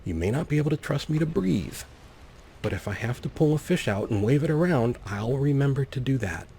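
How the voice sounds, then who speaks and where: A man's voice: medium pitch, expressive, fairly quick, dark tone. A man giving casual, playful gameplay commentary as a let's play host, rambling with wry asides. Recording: faint background noise.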